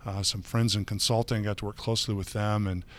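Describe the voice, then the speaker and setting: A middle-aged man from Michigan talks through his career in a relaxed, chatty way. His voice sits on the lower side, and he often pauses on fillers and restarts his sentences as he thinks. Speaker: guest. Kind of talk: casual interview.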